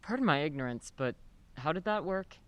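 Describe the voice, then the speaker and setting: An actor playing a polite, soft-spoken male nurse in a radio play, a middle-aged neighbor who talks matter-of-factly. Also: on the high side, animated, a little fast, smooth. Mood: calm, friendly. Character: gentle older male nurse neighbor, married, childless.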